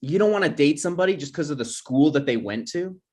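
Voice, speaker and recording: Insecure, defensive man in his twenties played by an actor, very animated delivery, comedy table read. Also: on the high side, fast, lightly acted. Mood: earnest. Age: young adult. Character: insecure, defensive twenty-something boyfriend and son.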